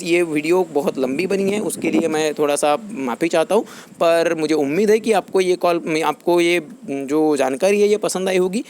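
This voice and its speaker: Higher-pitched man explaining to viewers. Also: darkish tone. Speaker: YouTube channel host. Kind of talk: informal explainer addressed to viewers.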